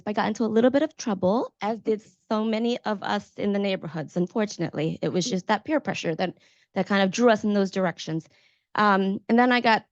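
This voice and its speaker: A woman's voice: medium pitch, no room sound. A woman with an American accent and a mid-range voice speaks conversationally as a moderator. She is earnest and emphatic, in a dry recording that is slightly muffled. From Maryland, United States. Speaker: panel moderator. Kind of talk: conversational personal storytelling to an audience.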